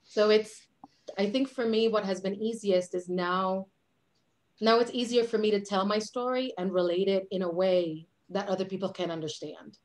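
Woman from Puerto Rico, animated, gesturing, webcam audio, home office. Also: medium pitch, normal speed. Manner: animated, gestures a lot with her hands. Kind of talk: video call conversation. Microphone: webcam on a video call.

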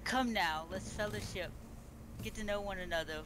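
A man's voice: high-pitched, moderate pace, pushed and bright. A man voicing a pregnant beast-woman empress who formally welcomes guests to her feast. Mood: stately. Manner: formal, grand speech with restarts. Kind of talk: role-play in a multiplayer game. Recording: noisy game-chat audio.

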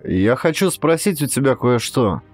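A man's voice: mid-range voice, big pitch swings, moderate pace, breathy edge, no room sound, soft background music, pitched above the performer's own voice. A man playing an earnest, curious male hero in an audiobook thriller, with a questioning manner.

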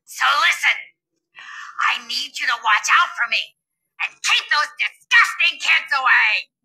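A woman voicing a grumpy, lovesick older school lunch lady in a video game, brash and coarse, with a loud, nasal, cackling voice. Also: high-pitched, lively intonation, measured pace, slightly rough, heavily acted, low-quality recording. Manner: brash, coarse, swooning over a teacher. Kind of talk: video game cutscene acting.

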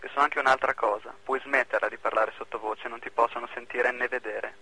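A man playing a secretive, commanding former prisoner in an amateur radio drama, calm and terse. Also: medium pitch, a little fast, breathy edge, poor audio.

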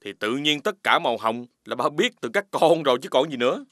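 Patient cartoon parent, acted out, lively intonation. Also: medium pitch, fast talker, heavy background noise, lightly acted. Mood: patient, explaining. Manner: gentle, explanatory. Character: patient cartoon animal parent explaining lessons to children. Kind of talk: children's cartoon dub. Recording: loud music under the voice.

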